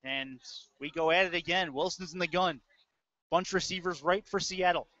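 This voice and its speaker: Male football play-by-play commentator, forward bright tone, clipped and casual, slightly muffled webcast sound. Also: on the high side, moderate pace, dry recording. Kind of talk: live American football commentary. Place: indoor audio-only webcast. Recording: dull lo-fi audio.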